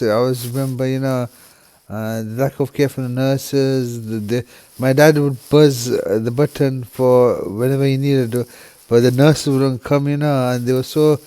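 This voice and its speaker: A man from the United Kingdom speaking straight to camera in a halting, subdued way, full of restarts and "you know" fillers. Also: mid-range voice, clean tone. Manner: subdued and quiet, grieving. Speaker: vlogger. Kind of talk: emotional personal monologue.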